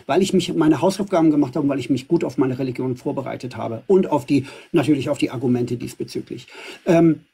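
A man's voice: fairly high pitch, vocal fry, warm tone, slightly rough. A middle-aged man from Iran arguing assertively in a live debate, with frequent fillers, filmed by a phone camera up close. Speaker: livestream host. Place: indoors before a green screen.

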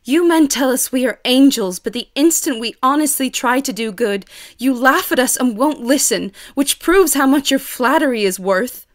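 A woman's voice: sits high, lively intonation, moderate pace. An actress playing a proud, outspoken, ambitious young lady in an audio drama. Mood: indignant. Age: young adult.

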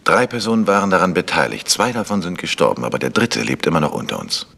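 Ein Schauspieler, der in einem Krimihörspiel einen selbstsicheren, bohrend fragenden Amateurdetektiv spielt. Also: male voice, low-pitched, sing-song, quick pace, gravelly, pushed and bright, in a large hall, full character voice. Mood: confident. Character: probing, self-assured male amateur detective. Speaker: amateur detective. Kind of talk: vintage German radio crime drama acting.

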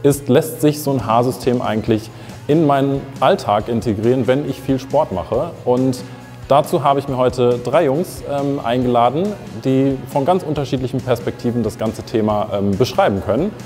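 A man's voice: medium pitch, moderate pace, dark tone, long reverb tail, soft background music. A young adult man hosting a talk show, asking questions casually with some fillers. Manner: interviewing, hesitant with fillers. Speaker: host. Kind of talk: casual interview chat. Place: photo studio with grey backdrop.

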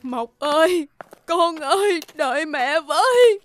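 Cartoon grieving old mother, high, plaintive, confused, music underneath. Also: lively intonation. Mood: distraught, desperate. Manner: plaintive, halting, repeating herself. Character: grieving, confused elderly peasant mother searching for her son. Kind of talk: animated folk tale voice acting. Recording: music behind the voice.

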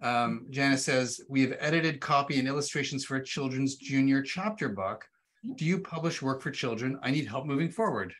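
A man in his forties with a medium-pitched voice hosts a webinar. He speaks informally and conversationally over a video call from a room indoors. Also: moderate pace, fairly bright tone. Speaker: webinar host. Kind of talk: conversational hosting. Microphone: video call microphone.

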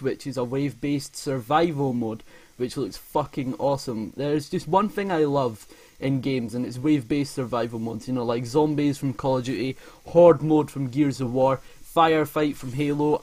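Bloke in his 20s chatting gaming news, informal and keen, swears a lot, mid pitched voice. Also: darkish tone. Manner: informal, enthusiastic, frequent swearing. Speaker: gaming video creator. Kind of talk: casual gaming news commentary over gameplay. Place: indoor room at home. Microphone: desk microphone close to mouth.

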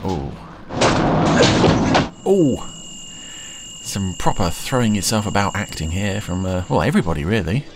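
Male commentator, low voice, casual and musing as he watches along, noticeable background noise. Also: very animated, measured pace, pauses often. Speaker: podcast commentator.

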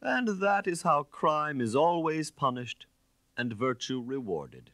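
A man voicing a suave, self-satisfied gentleman thief in an audiobook, speaking in a proud, grandiloquent manner. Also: a little high, very animated, takes it slowly, no room sound, studio quality, pitched above the performer's own voice, exaggerated voice. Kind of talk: audiobook character reading.

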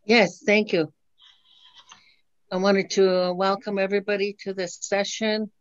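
Soft-spoken older woman on a video call. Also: mid-range voice, little pitch movement, no echo. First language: Dakota. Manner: soft-spoken, measured, many pauses. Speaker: elder opening a meeting with prayer. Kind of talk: slow, gentle prayer and teaching. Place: home room, indoors. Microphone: laptop webcam on video call. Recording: dull, dry video-call audio.

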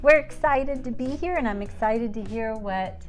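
A woman co-preaching, excited and chiming in to agree with the preacher. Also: a little high, normal speed, faint music behind. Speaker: co-pastor. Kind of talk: affirming preaching co-host.

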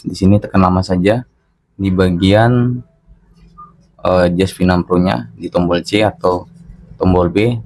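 A man's voice: sits low, a little mellow. A man narrating a casual hands-on product tutorial, talking instructively to his viewers. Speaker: product reviewer.

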